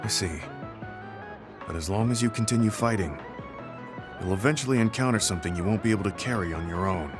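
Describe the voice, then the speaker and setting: A kind, weary veteran soldier performed by a male actor for a video game. His voice sits on the low side and he speaks in measured, reflective phrases that carry long guilt. Prominent music plays behind him. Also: natural voice. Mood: earnest, kind. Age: middle-aged. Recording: studio voice under loud game music.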